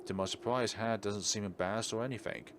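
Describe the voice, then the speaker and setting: A man reading a visual novel aloud as a let's player, in an expressive narrating style. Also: lowish voice, fast talker, noisy background. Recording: game music behind the voice.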